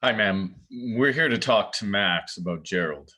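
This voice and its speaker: A man's voice: lowish voice, expressive, measured pace, pushed and bright, heavily acted. A man playing a polite, formal police officer in a table read of a drama script.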